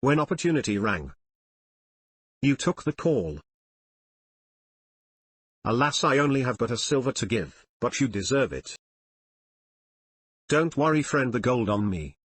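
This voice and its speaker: A man's voice: medium pitch, sing-song, a little slow, pauses often, husky, no echo. A man narrating forum stories and comments from a script, in the role of a reader. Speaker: narrator reading online forum posts and comments.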